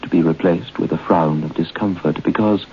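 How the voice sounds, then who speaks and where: An actor playing a grave, ominous narrator of a radio ghost story, speaking in a deliberate, suspenseful way. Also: male voice, low-pitched, close to an everyday voice. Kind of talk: old-time radio horror narration. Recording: muffled, noisy old radio broadcast.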